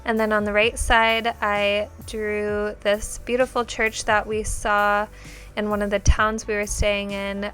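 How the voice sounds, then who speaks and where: A woman's voice: medium pitch, fairly bright tone. A young woman who sounds nasal as she gets over a cold, chatting casually with many ums and likes as she narrates her journal spread. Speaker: bullet journal vlogger.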